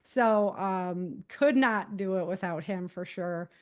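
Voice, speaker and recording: A woman's voice: medium pitch, takes it slowly, a little mellow. A middle-aged American woman giving conversational interview answers in an earnest, emphatic and informal way. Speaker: podcast guest, author and self-defense teacher.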